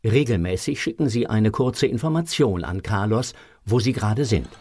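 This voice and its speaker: A man's voice: fairly low pitch, animated, normal speed, a little mellow, soft background music. A man narrating a children's audio drama in a scripted storybook style.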